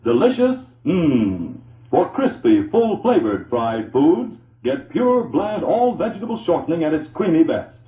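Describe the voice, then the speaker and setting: An old-time male radio announcer savours his words at a slow pace. His fairly high voice is emphatic, on a boxy, narrow-band recording. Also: very animated, echoey room. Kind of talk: vintage radio food advertisement.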